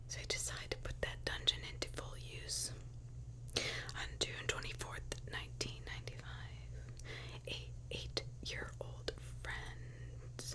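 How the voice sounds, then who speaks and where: A woman whispering a true crime story in ASMR style, in a deliberate, conversational way.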